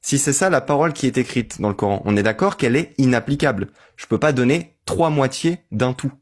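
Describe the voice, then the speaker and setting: A man's voice: medium pitch, lively intonation, a little fast. A man with a mid-range voice argues patiently in an online voice-chat debate. He builds his point step by step and keeps asking the other side to agree. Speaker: debate host.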